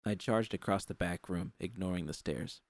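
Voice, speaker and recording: Low-voiced man narrating fiction. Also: moderate pace, no echo, clean studio sound. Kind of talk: scripted first-person fiction narration.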